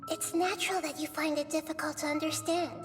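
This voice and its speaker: Woman acting a high-voiced, gentle wise guide. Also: far from the mic, music in the background, natural voice. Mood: calm, knowing. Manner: calm, measured explaining. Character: gentle, wise, composed girlish female guide. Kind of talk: video game voice acting.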